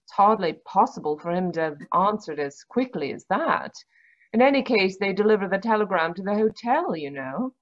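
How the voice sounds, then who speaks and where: A woman's voice: medium pitch, normal speed, lightly acted. A woman playing a genteel, affectionate and practical doctor's wife in a radio mystery, heard over a dull video-call line. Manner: genteel, expressive, wifely. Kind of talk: old-time radio mystery acting.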